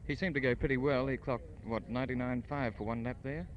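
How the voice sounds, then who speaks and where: A man's voice: medium pitch, fairly even intonation, fast talker, lo-fi sound. A man giving formal, hesitant live motor racing commentary and interviews in the manner of 1950s radio. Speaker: radio sports broadcaster. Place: outdoors trackside at a motor racing circuit. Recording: noisy 1958 outdoor radio broadcast. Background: racing cars and engine noise.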